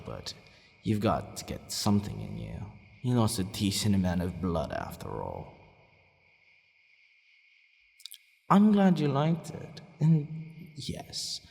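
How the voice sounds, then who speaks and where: A man playing a centuries-old, courteous but possessive vampire in an ASMR roleplay, deliberate and hesitant, sometimes murmuring almost to a whisper. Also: fairly low pitch, wildly swooping pitch, vocal fry, a little mellow, exaggerated voice. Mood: gentle, caring. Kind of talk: ASMR roleplay addressed to the listener. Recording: long reverberant hall echo.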